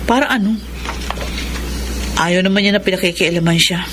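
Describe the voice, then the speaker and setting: Isang babaeng gumaganap bilang anak na may hinanakit at pagod na sa kanyang ina, sa dramang panradyo. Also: female voice, fairly low pitch, moderate pace, noisy background, lightly acted. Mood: bitter, sarcastic. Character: resentful, weary grown daughter, bitter about her mother. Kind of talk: Tagalog radio drama acting.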